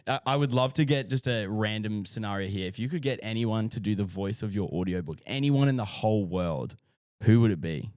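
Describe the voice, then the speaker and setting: A man's voice: on the low side, a little fast, a little mellow, no echo, studio quality. A young Australian man hosting a podcast, talking in an enthusiastic, laid-back way and swearing freely. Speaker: podcast host. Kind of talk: casual chat.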